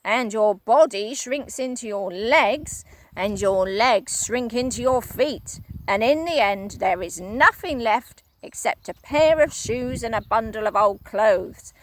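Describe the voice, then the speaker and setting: A woman voicing a nasty old man who taunts slowly and with relish. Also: a little high, expressive, no echo. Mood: menacing. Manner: slow, gloating and emphatic. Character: nasty gloating old man, cruel trickster.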